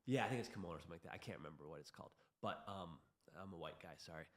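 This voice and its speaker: A man's voice: deep voice, racing. A man chatting casually on a podcast, rambling and opinionated, laughing at himself as he goes. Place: room in Taiwan. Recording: roomy echo.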